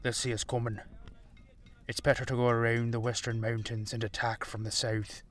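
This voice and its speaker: A man's voice: medium pitch, level tone, moderate pace, natural voice. A man playing a proud, stern old dragon king and warlord father in an audio drama, speaking in a formal, commanding way. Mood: calculating. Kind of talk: dramatic audio roleplay acting.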